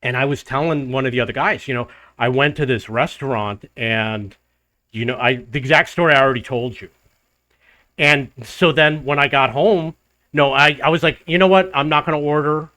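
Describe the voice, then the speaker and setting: An older man, host of his own travel channel, telling a rambling personal story to the camera in a loud voice. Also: mid-range voice, normal speed, no echo, rough recording. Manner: rambling, restarts and loops back in the story. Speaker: vlogger living abroad.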